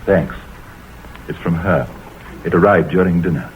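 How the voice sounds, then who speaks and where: An actor playing an anxious, wealthy middle-aged gentleman who speaks in a tense, hesitant way in an old radio drama. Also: low-pitched, unhurried. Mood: fearful. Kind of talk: 1930s radio drama acting. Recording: scratchy tinny old radio broadcast.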